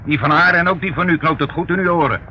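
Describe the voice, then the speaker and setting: An actor playing an aggrieved, cheeky small-time crook in a radio play, whining and defiant. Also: male voice, on the high side, fast, natural voice. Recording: muffled, noisy old radio recording.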